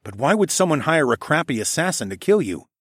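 A man's voice: fairly high pitch, big pitch swings, fairly quick, dry recording, clean studio sound, voice pushed up. A man voicing a wry, amused green dragon shifter, a loyal companion in a fantasy audiobook. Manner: amused, snorting, teasing.

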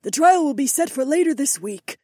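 A woman's voice: high-pitched, lively intonation, fairly quick, warm tone, no echo, studio quality. A woman playing a stern, imperious fantasy queen in an audiobook, with a haughty, formal, commanding delivery. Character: stern, imperious demi-human queen addressing her subjects. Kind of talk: dramatised audiobook acting.

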